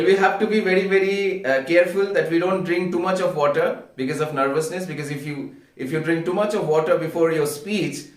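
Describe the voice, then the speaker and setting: A man with an Indian accent giving an instructional talk as a public speaking coach, earnest and deliberate, repeating phrases for emphasis. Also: on the high side. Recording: echoey room, distant microphone.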